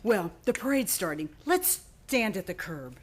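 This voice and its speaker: A woman's voice: mid-range voice, very animated, measured pace, slightly rough. A woman playing an effusive, admiring department store publicity worker in an old-time radio play. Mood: gushing. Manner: effusive, chatty.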